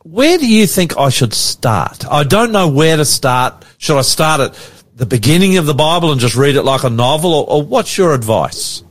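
A man's voice: a little high, sing-song, moderate pace. A man hosting an interview, asking simple, plain questions and stumbling a little at times. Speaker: interviewer, host.

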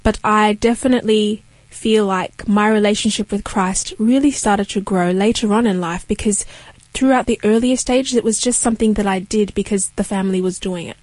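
A woman's voice: mid-range voice, fairly even intonation, normal speed, darkish tone, no echo. A young woman from Kenya sharing her faith story as an interview guest, earnest and reflective.

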